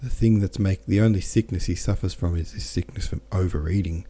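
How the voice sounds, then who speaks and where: Man, low voice, mellow tone, book podcast host, reads listener comments aloud. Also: a little fast. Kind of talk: casual book-club talk and reading aloud.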